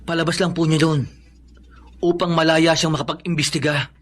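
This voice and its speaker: A fairly high young Filipino male voice by an actor in a radio drama. He is earnest and protective, pleading politely and urgently. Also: level tone, normal speed, close to an everyday voice.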